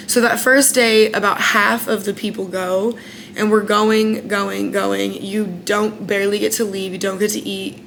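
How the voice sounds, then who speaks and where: A woman's voice: mid-range voice, a little hiss or hum. A young American woman candidly recounting her time as a singing contestant in a casual vlog, chatty, with lots of fillers. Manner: candid and chatty, many "like" fillers, frank opinions.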